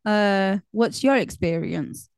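A woman talks in an easy, rambling way, filling her pauses with ums and likes. Her voice is smooth and clear at an even middle pitch. The sound is close and dry, with no room around it. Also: dry recording. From Portugal. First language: Portuguese. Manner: chatty, meandering, lots of fillers. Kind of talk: casual chat.

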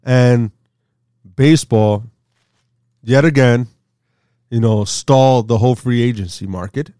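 A man's voice: mid-range voice, unhurried, mellow, clean tone, dry recording, clean studio sound. Chatty man in his forties. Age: 40s. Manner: rambling, chatty, laughs easily. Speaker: co-host. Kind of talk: casual sports talk.

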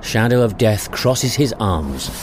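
A man's voice: on the low side, sing-song, slow. A man narrating a scripted audio drama with a wry storytelling manner. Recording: clearly noisy ambient bed behind voice.

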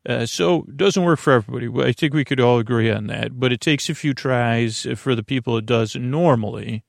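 A man with a creaky, fry-laden voice at an average pitch hosts a bedtime podcast. He meanders conversationally through tangents, mumbling, stumbling and gently putting himself down. The sound is dry, with no room echo. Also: brisk. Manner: meandering, mumbling, stumbling, self-deprecating, with tangents and mixed-up words. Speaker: podcast host. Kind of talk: rambling, conversational bedtime sleep podcast monologue.

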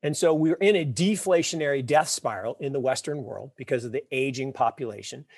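A man in his fifties, a podcast guest, telling wry stories and explaining ideas with emphatic, animated delivery. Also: mid-range voice, brisk, gravelly, dry recording, clean studio sound. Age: 50s. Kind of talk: casual interview talk.

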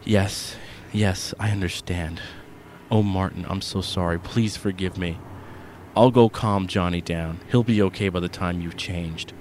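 A man voicing a gentle, anxious mother who speaks softly and pleadingly. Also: medium pitch, big pitch swings, moderate pace, slight background noise, pitched above the performer's own voice, close to an everyday voice. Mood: contrite. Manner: pleading, soft.

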